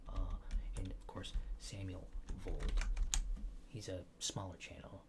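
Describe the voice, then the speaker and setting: A man doing a soft-spoken, often whispered ASMR roleplay as a casual, polite alien technician, rambling hesitantly in a low-key, reassuring way. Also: steady tone, slow pace, vocal fry, very noisy. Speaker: ASMR creator.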